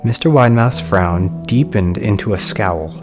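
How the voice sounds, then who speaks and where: A man's voice: on the low side, moderate pace. A man reading a scripted first-person horror story as its narrator. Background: soft music.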